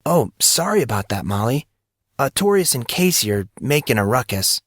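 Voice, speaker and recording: A man's voice: medium pitch, sing-song, normal speed, a bit bright, no echo, studio quality. A man voicing a brooding, earnest fourteen-year-old schoolboy in an audio story. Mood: concerned.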